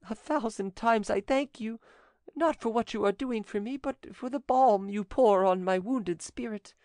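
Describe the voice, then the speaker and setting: A narrator voicing a high-pitched, theatrical coquettish Frenchwoman. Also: a little mellow, dry recording, studio quality. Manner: theatrical, emphatic protestations. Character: coquettish Frenchwoman, falsely innocent, sweetly dramatic. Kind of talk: audiobook character dialogue.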